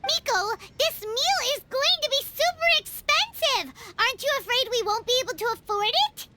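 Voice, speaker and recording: A woman voicing an excitable, whiny little fairy companion in a video game, squeaky and exclaiming. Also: moderate pace, exaggerated voice. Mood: indignant. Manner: high-pitched, squeaky, exclaiming. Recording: game score playing loudly behind.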